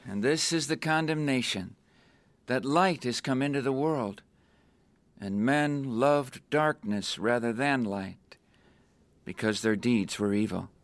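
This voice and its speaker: Radio drama part: fervent young convert, deliberate scripture delivery, very dry close sound. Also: male voice, medium pitch, dry recording. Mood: solemn, declaring. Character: earnest, newly saved young man preaching scripture.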